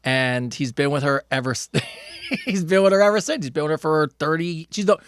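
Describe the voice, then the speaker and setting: A man's voice: a little high, brisk, smooth, no echo, clean studio sound. A middle-aged man co-hosting a casual comedy podcast, telling loose rambling stories and reading out listener questions, with plenty of restarts and swearing.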